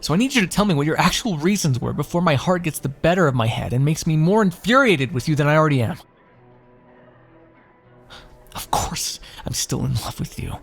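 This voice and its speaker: A man playing a smug, lovestruck royal in an ASMR fantasy roleplay, with theatrical, teasing, courtly phrasing. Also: on the high side. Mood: exasperated, lovestruck.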